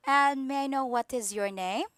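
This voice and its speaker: A woman's voice: sits high, moderate pace, background noise. A woman teaching English in an online lesson, asking polite questions patiently over a video call.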